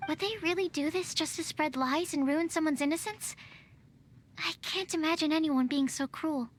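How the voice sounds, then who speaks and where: A woman's voice: high voice, moderate pace, slight background noise, close to an everyday voice. A woman voicing a timid, bookish young female alchemist in a video game, nervous and over-careful with her words. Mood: cautious. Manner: nervous, over-careful, qualifies every statement. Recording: faint game music behind.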